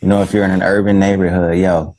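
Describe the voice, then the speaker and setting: A man with a low voice speaks casually as a guest in a discussion. He gives advice in laid-back slang, often checking in with short rhetorical tags. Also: level tone, fast talker, mellow. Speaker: panel guest, recording artist.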